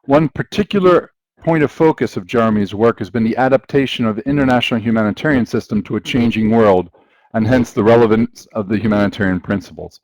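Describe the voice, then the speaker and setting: A man hosting an online session, presenting in a measured, formal way as he introduces the event and guest. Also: fairly low pitch, darkish tone, no room sound, low-quality recording. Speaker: webinar host introducing a guest speaker. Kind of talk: scripted webinar introduction.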